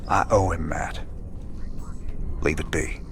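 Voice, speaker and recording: An actor playing a gruff, weary rebel commander in a video game cinematic, laconic and sardonic with a low drawl. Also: male voice, steady tone, slow, a little hiss or hum, exaggerated voice. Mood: somber. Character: gruff, world-weary male rebel commander, brooding and loyal. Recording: game music behind the voice.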